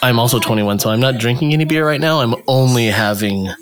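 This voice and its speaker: A man co-hosting a casual chat from home, animated and joking, with an irreverent way of talking. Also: mid-range voice, fast talker. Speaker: podcast co-host. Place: home in Long Beach.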